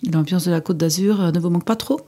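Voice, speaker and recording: A woman interviewer who asks gentle, prompting questions for a language course recording. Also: fairly low pitch, fast talker.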